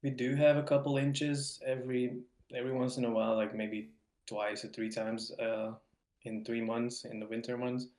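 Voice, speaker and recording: A man's voice: medium pitch, level tone, normal speed, darkish tone. Young Hungarian man in an echoey room. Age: young adult. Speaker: podcast guest. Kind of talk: casual interview chat. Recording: roomy, echoing voice.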